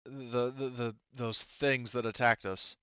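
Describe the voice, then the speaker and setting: A man's voice: mid-range voice, level tone, a bit bright, clear, resonant tone, no room sound, muffled recording. A man voicing a weary, grateful woman who survived an attack on her ship, stammering as she speaks, in a tabletop game. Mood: shaken, relieved. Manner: halting, stammering. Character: rescued female crew survivor, weak, relieved, grateful, apologetic.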